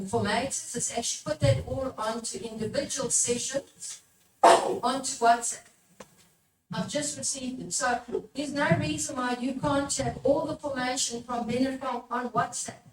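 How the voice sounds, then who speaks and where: The voice is a woman's, set high, speaking as a workshop presenter who urges and questions her audience. She is picked up from a distance in an echoing room, with some background noise. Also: animated, a little slow. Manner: exhortatory, puts questions to the listeners. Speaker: workshop presenter, religious formator. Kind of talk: live workshop teaching talk to an audience. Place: echoey room at a live workshop.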